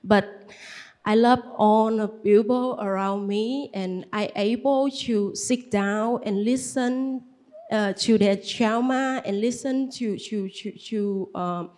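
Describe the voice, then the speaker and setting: A woman who is not a native English speaker, giving a halting personal testimony at a Buddhist gathering. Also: mid-range voice, fairly even intonation, darkish tone. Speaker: participant sharing at a Buddhist gathering.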